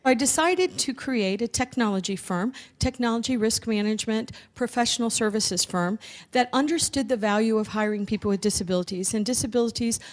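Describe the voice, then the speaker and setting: A woman founder speaking earnestly and conversationally at a conference, with frequent pauses and restarts. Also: on the high side. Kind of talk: personal conference talk.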